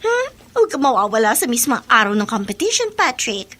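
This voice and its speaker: A proud, competitive schoolgirl for a radio play. Her voice is very high and sharp, with animated swings and a curt, scoffing manner. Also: a little fast, heavily acted. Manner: haughty, scoffing. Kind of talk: Tagalog radio drama acting.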